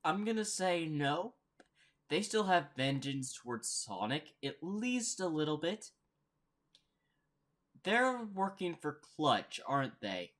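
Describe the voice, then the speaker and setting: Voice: medium pitch, big pitch swings, takes it slowly, a bit bright. A reaction host giving casual, rambling commentary and musing aloud.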